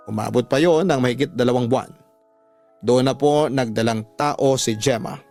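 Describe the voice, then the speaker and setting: A male radio drama narrator with a mid-pitched voice tells a story in the first person. His delivery is scripted, measured and dramatic, with music playing underneath. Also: darkish tone.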